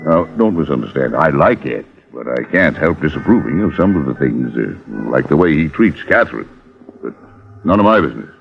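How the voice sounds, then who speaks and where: An actor playing a candid tea buyer in an old radio drama, talking in a musing, hesitant, conversational way. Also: male voice, extremely low pitch, big pitch swings, brisk, creaky, soft background music, heavily acted. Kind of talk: 1940s-50s radio drama acting. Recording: dull old radio recording.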